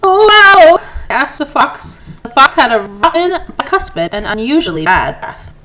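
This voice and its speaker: A woman narrates a children's story as a read-aloud. Her intonation is very lively, rising and falling widely through the sentences. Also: a little high, normal speed, a little hiss or hum, low-quality recording. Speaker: storybook reader.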